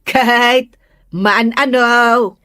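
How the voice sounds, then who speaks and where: A woman's voice: a little high, fairly even intonation, extremely slow, bright, forceful tone, no echo, heavily acted. A woman playing a gossipy, nosy neighbour who grumbles in a catty drawl in a comedy radio drama. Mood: indignant.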